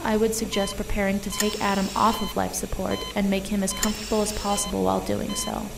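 A woman playing a calm, grave, compassionate military doctor in an audio drama. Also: mid-range voice, fast, gravelly, far from the mic, over music, close to an everyday voice, low-quality recording. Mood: sympathetic, measured.

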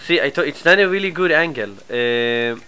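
A man with a cold and a stuffy nose, chatting casually through a live game stream, his asides often trailing off quietly. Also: a little high, expressive, moderate pace, a little hiss or hum. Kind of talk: live gameplay commentary.

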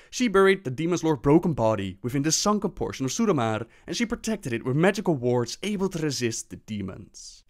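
A man narrating fantasy game lore as scripted storytelling, with personal asides. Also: mid-range voice.

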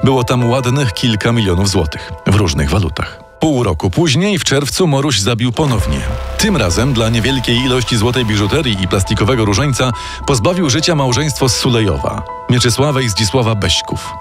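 A man narrates a true-crime story from a script, measured and dramatic. His pitch moves freely in mid range as he tells it. A music bed plays steadily beneath his voice. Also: animated. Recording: music playing underneath.

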